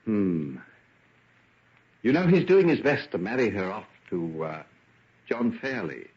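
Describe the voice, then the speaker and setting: Husky, brooding young British gentleman. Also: on the low side, sing-song, unhurried, heavily acted. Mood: troubled, reluctant. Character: brooding, self-doubting young English gentleman scientist. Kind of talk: old-time radio drama acting. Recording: muffled, band-limited vintage radio recording.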